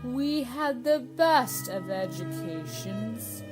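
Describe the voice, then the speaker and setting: A woman's voice: a little high, lively intonation, takes it slowly. A woman voicing a melancholy, touchy turtle in a storybook radio play, ponderous and easily offended. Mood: wistful, ponderous. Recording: music under the voice.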